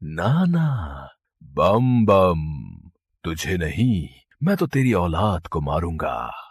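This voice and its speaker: Wicked serpent villain, gloating, menacing, drawn-out delivery, rough husky tone, dry recording. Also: male voice, sits low, sing-song, no echo, pitched below the performer's own voice, heavily acted. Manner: slow, drawn-out, taunting threats.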